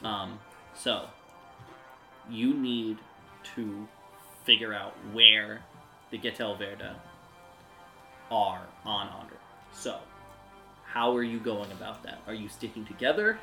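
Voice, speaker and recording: A man improvising narration as game master of a tabletop game, in a halting, conversational way. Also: fairly low pitch, steady tone, sharp tone, roomy sound, low-quality recording. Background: music.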